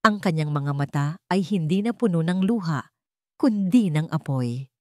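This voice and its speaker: A woman's voice: deep voice, lively intonation, moderate pace, no echo, studio quality. A woman storyteller delivering dramatic scripted narration.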